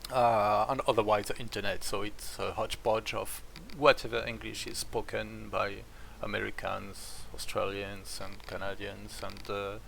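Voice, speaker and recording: Gravelly French man with hesitant chat. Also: on the low side, level tone, moderate pace, hardly any pauses, a bit bright, a little hiss or hum, rough recording. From France. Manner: rambling, full of hesitations and restarts. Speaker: strategy game streamer. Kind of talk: casual chat while playing.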